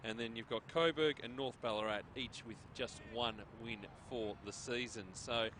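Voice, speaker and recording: A man's voice: medium pitch, fairly even intonation, normal speed, fairly bright tone, a little hiss or hum. A middle-aged Australian man hosting a football broadcast, chatting and joking before reading out scores and ladder standings. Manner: chatty, jokey, reads out scores. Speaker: sports broadcast host.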